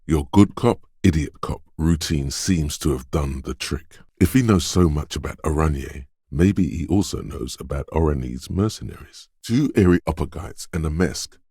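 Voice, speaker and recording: A man voicing a detective's quick-witted inner voice in a video game, narrating in a measured, deliberate way with short, wry, alert asides. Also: rumbling low, expressive, mellow, slightly rough, no room sound, studio quality, exaggerated voice. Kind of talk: scripted game narration, second-person inner voice.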